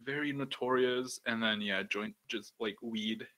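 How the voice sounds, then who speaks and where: A young man with a deep voice hosting a podcast, chatting casually and rambling with lots of "like" and the odd swear. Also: fairly even intonation, measured pace, pushed and bright. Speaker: podcast host. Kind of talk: casual chat.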